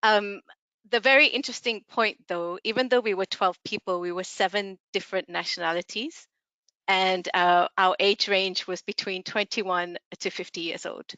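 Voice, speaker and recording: Smooth, upbeat woman presenter from Malaysia, dry muffled call audio. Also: mid-range voice, fairly even intonation, moderate pace, pushed and bright, no echo. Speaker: head of communications. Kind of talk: webinar presentation. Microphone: video call.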